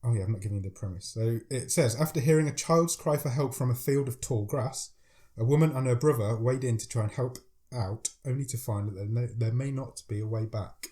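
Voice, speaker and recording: A man's voice: medium pitch, a little fast, warm tone. A man with a head cold, chatting eagerly about films as a podcast co-host. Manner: chatty, enthusiastic, reads out film blurbs. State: has a cold, deeper voice. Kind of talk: casual chat about films.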